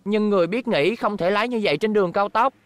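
A woman's voice: medium pitch, fast, natural voice. A woman voicing a polite, earnest and sensible young robot boy in a Vietnamese cartoon dub. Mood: earnest, mild.

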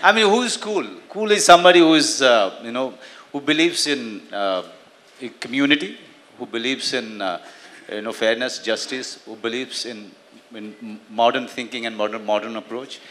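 Middle-aged guy on stage with a handheld mic, bright voice, lots of uh and you know, hall echo. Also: medium pitch, slight background noise. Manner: halting, many fillers and restarts. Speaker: actor on a press panel. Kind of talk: press conference answers. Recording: long hall reverb.

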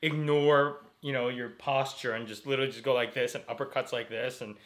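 An American man chatting casually as a vlogger, in an informal, animated and jokey way. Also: mid-range voice, fairly quick. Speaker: vlogger, host. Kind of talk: casual vlog chat.

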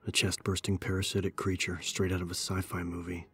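A man's voice: deep voice, monotone, a little fast, a bit bright, breathy edge. A man narrating a horror audiobook in a scripted, dramatic style. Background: background music.

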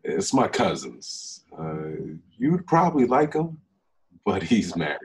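A smooth, evasive young man luring a date played by an actor at a table read. He talks low and low-key, as heard over a video call. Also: sing-song, slow pace, exaggerated voice. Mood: evasive, calm. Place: dim room at home, indoors.

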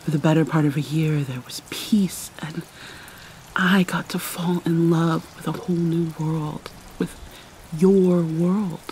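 A woman voicing a guarded, wounded vampire mermaid in an ASMR roleplay, speaking in a hesitant, halting, hushed and often breathy way. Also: deep voice, steady tone, a little hiss or hum, rough recording. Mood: wistful, ominous. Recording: rain and water sounds behind. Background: rain and pouring water.

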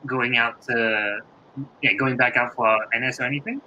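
A man's voice: medium pitch, fairly even intonation, bright, forceful tone, dull audio, slight background noise. A young man with an Australian accent, hosting a casual video call interview and laughing along. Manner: friendly, quick to laugh along. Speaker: host. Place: room with yellow walls indoors.

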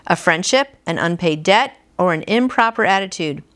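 A woman's voice: fairly low pitch, animated, moderate pace, fairly bright tone. A woman in her fifties hosting a devotional, reading aloud in an earnest, conversational way. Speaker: devotional host. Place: small furnished room at home, indoors.

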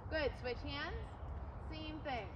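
Woman riding instructor, very high voice, clipped instructions, muffled lo-fi sound, loud outdoor noise. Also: steady tone, takes it slowly. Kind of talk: coaching a riding lesson.

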